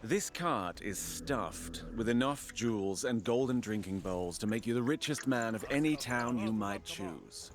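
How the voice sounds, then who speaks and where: A male actor plays a put-upon ancient prince in a strategy game. He is sardonic and theatrical, with an average male pitch that moves around a lot. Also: lively intonation, unhurried, hardly any pauses, close to an everyday voice. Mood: urgent, persuasive. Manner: sarcastic, theatrical. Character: sardonic, resentful royal half-brother commanding an army supply train. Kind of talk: dramatic game voice acting.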